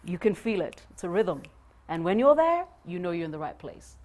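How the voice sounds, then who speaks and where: A woman's voice: fairly low pitch, moderate pace. Middle-aged woman from Kenya, guest speaker on a panel, emphatic and punchy delivery. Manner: emphatic, punchy, animated gestures. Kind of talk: motivational panel talk. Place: studio set.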